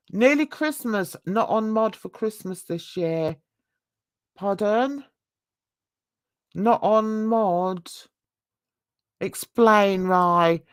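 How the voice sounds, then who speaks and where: A woman talks to her livestream viewers at an unhurried, slow pace. She rambles and mocks herself, laughing as she goes, and her voice sits at a middle pitch. The sound is dry and close, with no room echo. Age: 60s. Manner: rambling, chatty, self-mocking, laughs often. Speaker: livestream host. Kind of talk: casual chat with livestream viewers.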